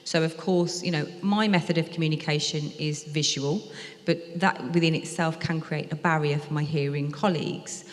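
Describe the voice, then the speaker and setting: A woman interpreter voicing a deaf speaker's talk aloud, in a measured, explanatory way with some fillers. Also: deep voice, steady tone. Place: lecture hall at a museum event. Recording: reverberant lecture hall.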